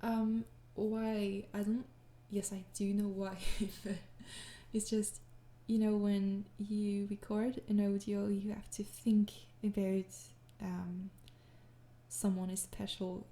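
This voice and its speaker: A woman's voice: mid-range voice, level tone, slow, roomy sound. A young woman with a French accent answering fan questions in a hesitant, apologetic way, tired and slipping into whispers. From France. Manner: hesitant, apologetic, frequent soft laughs, slips into whispers. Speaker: ASMR audio creator answering fan questions. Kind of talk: soft-spoken casual Q&A.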